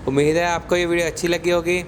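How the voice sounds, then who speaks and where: Male tech presenter, slightly high pitch, casual step-by-step explainer delivery, faint street noise in the background. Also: flat delivery, fast. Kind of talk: casual product unboxing narration.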